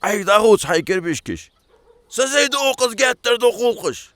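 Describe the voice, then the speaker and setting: Animated man voicing a male cartoon character. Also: high voice, fairly quick, dry recording. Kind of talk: cartoon dubbing.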